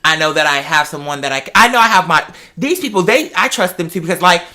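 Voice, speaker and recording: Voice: mid-range voice, lively intonation, fast talker, pushed and bright. An American adult chatting casually and telling personal stories, speaking emphatically and repeating phrases for stress. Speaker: talk show host. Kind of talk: casual personal chat, storytelling.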